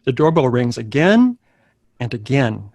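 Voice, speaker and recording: A man's voice: medium pitch, sing-song, a little slow, a little mellow, no room sound. A man narrates scripted stage directions at a table read. His voice sits in an ordinary register and is recorded dry, with little room sound.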